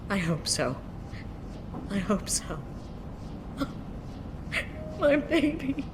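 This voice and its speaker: An actress playing a grieving, weary mother in a video game, speaking in a soft, halting, emotional way. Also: mid-range voice, very animated, background noise. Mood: grief-stricken. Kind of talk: dramatic video game acting.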